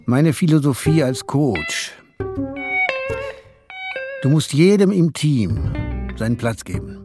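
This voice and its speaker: An actor playing a self-satisfied police boss who lectures jovially like a team coach in a German radio drama. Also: male voice, fairly high pitch, sing-song, takes it slowly, over music. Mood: self-satisfied, lecturing.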